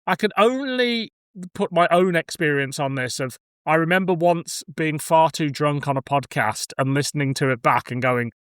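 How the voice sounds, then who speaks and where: A man with a voice a little higher than most men's speaks in an informal, conversational way, as on a review podcast. The recording is dry and close, without room sound. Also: animated, moderate pace, a bit bright, smooth, no room sound. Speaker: podcast co-presenter. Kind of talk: casual film review chat.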